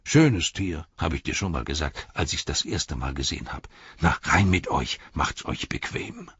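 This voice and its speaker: A man's voice: extremely low pitch, very animated, moderate pace, fairly bright tone, breathy edge, no room sound, highs cut off, heavily acted. A man voicing a hearty, talkative, flustered innkeeper who exclaims, rambles and interrupts himself in an audiobook story. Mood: flustered, bustling.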